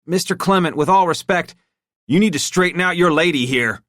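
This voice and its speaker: A man's voice: fairly high pitch, brisk, a bit bright, dry recording, studio quality, pitched above the performer's own voice, close to an everyday voice. A man doing a crude, agitated henchman. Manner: coarse, agitated, swearing. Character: crude, distressed young male henchman, threatening.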